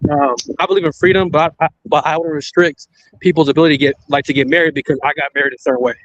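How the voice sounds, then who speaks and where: A man's voice: a little high, steady tone, rapid-fire, lo-fi sound. A married man on a discussion panel, talking in a loose, rambling way and often adding "you know what I mean.".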